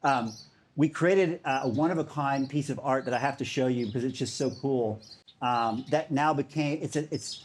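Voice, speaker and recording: Man, conference speaker, casual, enthusiastic, filler-heavy, mid pitch. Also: a little hiss or hum. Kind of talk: conference talk.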